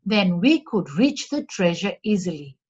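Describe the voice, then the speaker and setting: A woman's voice: medium pitch, sing-song, unhurried, husky. A woman voicing a poor, secretive and practical woodcutter in a storybook, on a slightly dull recording. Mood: earnest. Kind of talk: storybook character voice.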